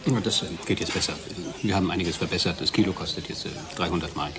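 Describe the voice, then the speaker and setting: A man plays a sardonic company chemist in a radio play. His voice is deep, his manner dry and argumentative, with clear noise behind him. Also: fast, lightly acted. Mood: explaining. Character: testy, sardonic male company research chemist. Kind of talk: German radio drama acting. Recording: clearly noisy background ambience.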